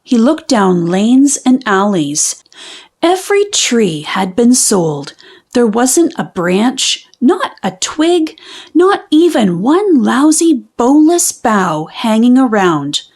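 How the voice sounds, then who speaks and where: A woman reading a children's storybook aloud in an expressive, deliberate way with dramatic pauses. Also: on the high side. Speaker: children's book reader. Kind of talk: animated storybook read-aloud.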